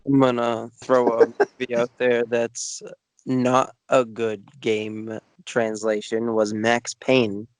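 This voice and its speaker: A man's voice: medium pitch, completely flat delivery, takes it slowly, no room sound. A man co-hosting a casual podcast, giving blunt, offhand opinions. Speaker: podcast co-host. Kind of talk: casual chat.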